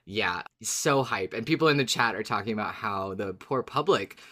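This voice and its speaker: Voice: medium pitch, expressive, moderate pace, fairly bright tone. A podcast co-host who talks about a story in an emphatic, dramatic way, as a fan of it. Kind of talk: scripted recap, then animated fan discussion.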